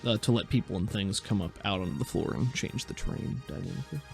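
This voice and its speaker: A man's voice: on the low side, fast talker, vocal fry, a little mellow, soft background music. A man narrating a tabletop game as game master in a conversational, descriptive, improvised way.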